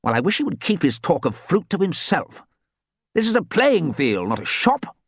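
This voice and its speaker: A man's voice: high voice, wildly swooping pitch, moderate pace, fairly bright tone, dry recording, clean studio sound, voice pushed up, full character voice. A man putting on a pompous old ghostly football coach. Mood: stern. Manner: old-fashioned, formal and pompous.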